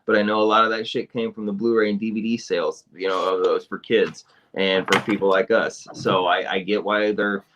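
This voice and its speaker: A man's voice: lowish voice, steady tone, brisk, few pauses, background noise. A man co-hosting a podcast, talking in a rambling, emphatic way with lots of swearing. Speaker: podcast co-host. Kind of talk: casual podcast chat.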